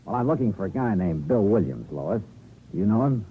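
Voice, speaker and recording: A man's voice: fairly low pitch, very animated, brisk, a little hiss or hum. An American man playing a wry, easygoing private investigator in a 1950s radio detective drama, with dry first-person delivery. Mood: casual, probing. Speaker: narrator and lead actor. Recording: old tinny radio recording, music behind.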